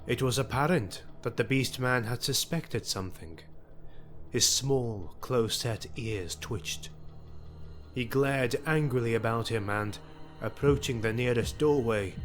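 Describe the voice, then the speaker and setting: Grave male narrator over music. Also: medium pitch. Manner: grave, deliberate, dramatic. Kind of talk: scripted dramatic fantasy story narration.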